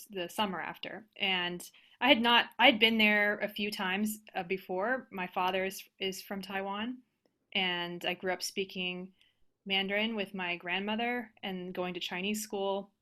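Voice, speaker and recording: Casting: American woman, bright forward voice, reflective and halting, informal panel talk over video call. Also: mid-range voice, steady tone. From United States. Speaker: panelist, organist and composer. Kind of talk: casual panel discussion.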